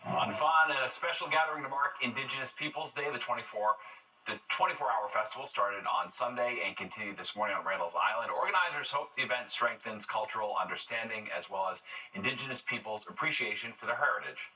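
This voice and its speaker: A man's voice: medium pitch, quick pace, sharp tone, roomy sound, far from the mic, rough recording. A man presenting scripted stories as a television news anchor.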